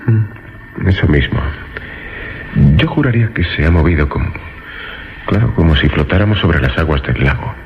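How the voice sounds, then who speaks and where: A calm man luring his guest in a radio suspense drama. His voice is deep, reassuring yet dismissive. The recording is somewhat dull and lo-fi. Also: moderate pace, pauses often, slight background noise. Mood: intimate, questioning.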